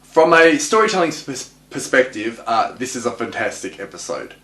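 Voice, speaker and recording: A man delivering recap and analysis commentary as a host, with wry, clipped deadpan quips. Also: mid-range voice, moderate pace. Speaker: host of a TV episode analysis show. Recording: roomy, echoing voice recording.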